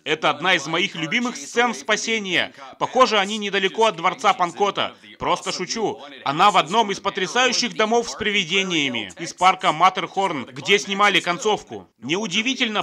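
A man's voice: high-pitched, bright, forceful tone. A man narrating scripted comedic film commentary in a sardonic, joking manner.

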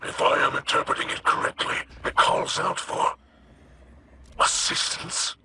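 Grave, whispery alien mystic. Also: male voice, bright, forceful tone, slight background noise. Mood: grave, intent. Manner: formal, deliberate, grave. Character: solemn alien mystic translator, hushed and grave. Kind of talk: video game voice acting.

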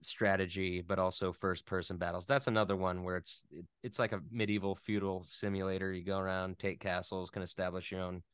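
A man speaking as an interview guest in casual chat, thoughtful and often halting as he searches for words. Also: deep voice, flat delivery, a bit bright, smooth, no room sound, studio quality. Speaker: interview guest, video game voice actor.

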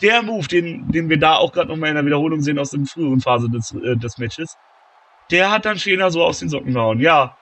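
Excitable game-wrestling commentator. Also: male voice, fairly quick. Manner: shouts and gets high-pitched at big moments, calmer when tallying scores. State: has a cold, slightly hoarse.

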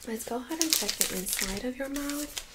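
A young woman speaks in a soft, breathy, whispery voice, gently and politely giving step-by-step instructions in an ASMR medical role-play. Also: high voice, expressive, moderate pace, very noisy. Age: young adult. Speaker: ASMR performer playing a medical examiner. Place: small indoor studio room.

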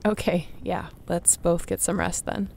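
A woman playing a young apocalypse survivor, a tender, teasing companion in an intimate audio roleplay, with a creaky voice. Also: on the low side, fairly even intonation, darkish tone, a little hiss or hum. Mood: relaxed, caring. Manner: unhurried, intimate, playful.

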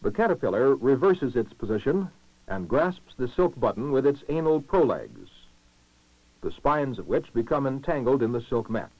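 A male narrator from a 1960s educational film, speaking in a formal, measured way with expressive rises and falls. His mid-pitched voice comes through a dull, muffled old film soundtrack with very little room sound. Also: no echo. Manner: formal, measured, clearly enunciated delivery. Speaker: documentary narrator.

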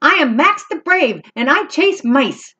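A woman's voice: high-pitched, big pitch swings, measured pace, sharp tone, lo-fi sound, voice pushed up, heavily acted. A woman reading aloud, voicing a small, boastful male kitten who talks politely with puffed-up bravado.

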